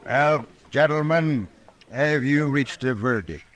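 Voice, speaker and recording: A man's voice: medium pitch, slow pace, slight background noise, natural voice. A man playing a brusque, self-satisfied, hard-drinking judge in a radio western, folksy yet pompous and peremptory. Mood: stern, thundering. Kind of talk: 1940s-50s radio western acting.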